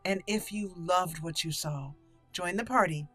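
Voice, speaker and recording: A woman's voice: on the low side, measured pace, fairly bright tone, husky, faint music behind. Playful woman hosting a game playthrough. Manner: playful, theatrical. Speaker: gaming channel host. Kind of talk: roleplay let's play commentary.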